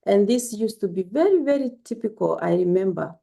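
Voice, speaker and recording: A woman talks to her viewers with a heavily gravelly, creaky voice. Her tone is dark and mellow. She advises in an earnest, gently instructive way and repeats her points. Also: mid-range voice, lively intonation, fairly quick. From Kenya. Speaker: health vlogger. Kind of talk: casual spoken health advice to camera.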